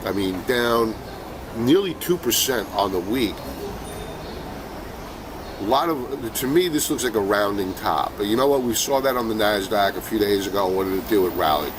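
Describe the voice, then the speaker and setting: A middle-aged man with a cold, sniffling now and then, giving relaxed market commentary as a trading show host with lots of fillers. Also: lowish voice, normal speed, background noise. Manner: conversational, many fillers and restarts.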